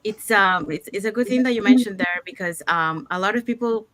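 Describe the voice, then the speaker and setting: A woman's voice: medium pitch, fairly quick, a bit bright, dry recording. A woman from Panama hosting an online talk show, introducing guests in a loose, chatty way with fillers. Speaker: host.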